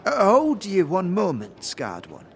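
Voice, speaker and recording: Slow, mysterious fortune-telling crone played by a man. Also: high-pitched, wildly swooping pitch, creaky, faint music behind, exaggerated voice. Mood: mysterious, earnest. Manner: mysterious, portentous, archaic phrasing. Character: cryptic, hunched female fortune teller in rich fabrics. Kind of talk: audio drama character acting.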